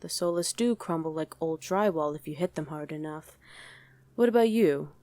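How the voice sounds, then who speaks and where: Woman playing a snarky demon commander, lower-pitched, dry sound. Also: moderate pace, mellow, no echo, natural voice. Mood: sardonic, musing. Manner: sardonic, chatty, conversational. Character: snarky, condescending female demon commander, casually chatty. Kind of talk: ASMR roleplay acting addressed to the listener.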